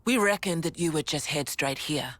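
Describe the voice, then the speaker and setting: A woman's voice: low voice, animated, normal speed, a bit bright, no echo. A woman playing a confident resistance leader in a game, speaking in a colloquial, wry and authoritative way. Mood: frank, wry. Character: confident, frank, easygoing female resistance leader.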